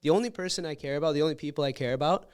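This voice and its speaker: Man, smooth and rambling. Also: a little high, very fast, darkish tone. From Canada. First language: Russian. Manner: rambling, self-interrupting, blunt and profane. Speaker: comedian guest. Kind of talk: casual podcast interview.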